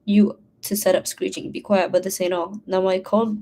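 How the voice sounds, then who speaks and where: Woman doing an old male servant, slow dialect drawl, mellow and flat. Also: medium pitch, a little hiss or hum, close to an everyday voice. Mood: scolding.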